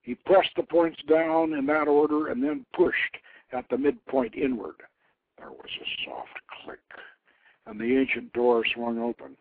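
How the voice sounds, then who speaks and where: A man narrates a tale with solemn, theatrical weight, his mid-pitched voice shifting between hushed near-whispers and swelling emphasis. The sound is narrow and phone-like, as on low-bandwidth internet radio, and quite dry. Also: steady tone, unhurried, dry recording. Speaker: host reading his own novelette. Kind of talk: dramatic narrated storytelling, reading aloud.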